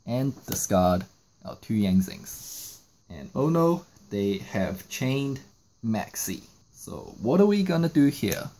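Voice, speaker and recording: A man's voice: fairly low pitch, mellow, slight background noise. A man narrating a step-by-step card game tutorial, explaining each move in sequence. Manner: explanatory, walking through moves in sequence. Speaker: trading card game YouTuber.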